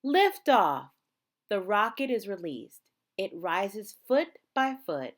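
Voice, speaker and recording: Woman doing a read-aloud for kids, takes it slow, pitch goes up and down a lot for drama. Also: big pitch swings. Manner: measured, dramatic phrasing with emphasized words. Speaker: teacher reading a picture book aloud to students.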